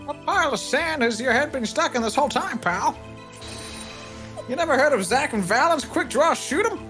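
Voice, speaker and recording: A woman's voice: sits high, lively intonation, normal speed, fairly bright tone, soft background music. A woman voicing a blustery, folksy male police detective in a live fan dub of a video game. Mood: blustery, confident. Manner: folksy, emphatic, ends phrases with pal or sir.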